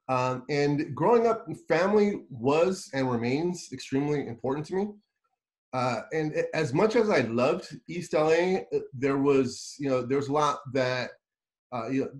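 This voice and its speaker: Middle-aged guest speaker over a video call, casual talk with fillers and repeated words. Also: male voice, medium pitch. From United States. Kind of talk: webinar talk. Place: home office with bookshelves.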